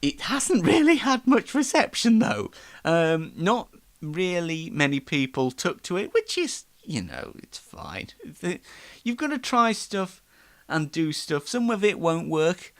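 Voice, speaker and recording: Man chatting with very lively pitch. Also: unhurried. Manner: rambling, self-deprecating, chatty. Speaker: host of an online show. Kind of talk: casual live monologue to listeners.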